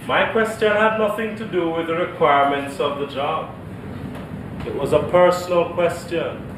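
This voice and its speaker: Man acting as flirty jamaican husband, stage comedy, halting and slow, echoey noisy recording. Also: on the high side, natural voice. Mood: flirtatious. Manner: hesitant, halting with innuendo. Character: flirtatious, bumbling middle-aged husband. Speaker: stage actor. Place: theatre stage with audience. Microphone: distant stage microphones. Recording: echoey noisy theatre, distant mics.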